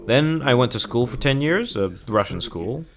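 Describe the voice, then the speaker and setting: A man interviewee recounting his childhood in a measured, matter-of-fact way. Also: medium pitch, expressive, a bit bright. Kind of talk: personal recollection in an interview.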